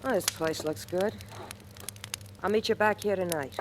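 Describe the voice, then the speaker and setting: An actress playing a tough, shrewd hobo in a 1940s radio thriller, sardonic, slangy and taunting. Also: female voice, medium pitch, normal speed, close to an everyday voice. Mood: cool, wary. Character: tough wisecracking female hobo, shrewd and mocking. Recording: noisy old radio recording.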